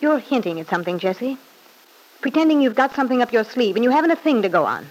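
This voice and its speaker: A woman's voice: fairly high pitch, sing-song, fast talker, poor audio. Curt, defensive young mother. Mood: defiant, guarded. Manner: curt, cold, guarded. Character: proud, defensive, short-tempered young mother. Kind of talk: old-time radio soap opera acting.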